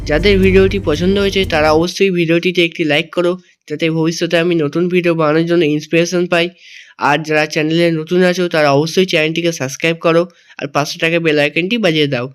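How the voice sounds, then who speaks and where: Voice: medium pitch, steady tone, fast talker, clear, resonant tone. A channel host delivering scripted narration addressed to viewers.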